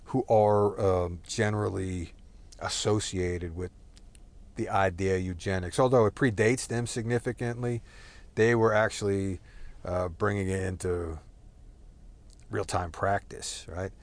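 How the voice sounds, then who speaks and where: Rambling profane man, low voice. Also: steady tone, a little slow. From Texas. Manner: rambling, profane, digressive with many fillers. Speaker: solo talker. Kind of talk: rambling monologue.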